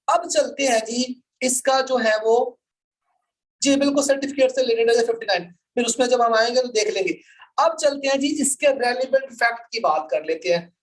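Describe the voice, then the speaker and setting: A woman's voice: fairly high pitch, recorded from a distance, low-quality recording. A woman teaching law to students in a didactic way, repeating her points and mixing in English with her Hindi-Urdu. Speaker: law lecturer. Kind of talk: live lecture to students, explaining and reading out articles.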